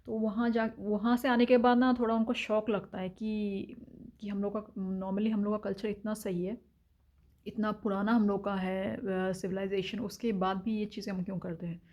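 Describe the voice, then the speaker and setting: A woman's voice: mid-range voice, little pitch movement, moderate pace, darkish tone, dull audio. A woman from India talking frankly in a casual vlog, with lots of filler sounds and opinions. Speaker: vlogger. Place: home in Denmark.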